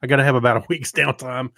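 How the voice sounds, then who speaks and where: A middle-aged man chats as a podcast co-host in a mid-range voice. He speaks in a casual, halting ramble, recorded dry with very little room sound. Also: medium pitch, creaky, pushed and bright, no room sound. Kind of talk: casual chat.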